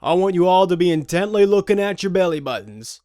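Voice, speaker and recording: A man's voice: sits high, animated, a little fast, mellow, no echo, clean studio sound, pitched above the performer's own voice. A man voicing a menacing, mocking street-gang robber in an audio drama. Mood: mocking threat.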